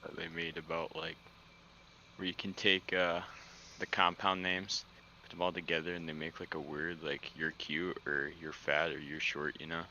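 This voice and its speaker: Male friend on voice chat, very deep voice, rambling casual banter, dull lo-fi chat audio with faint noise. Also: flat delivery, normal speed, bright, forceful tone. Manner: rambling, loose, searching for words.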